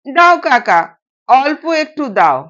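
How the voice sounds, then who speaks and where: A woman's voice: high voice, sing-song, takes it slowly, highs cut off, exaggerated voice. A woman voicing a kind young newlywed daughter-in-law in an audio story, polite and earnest. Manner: gentle, polite, quietly insistent.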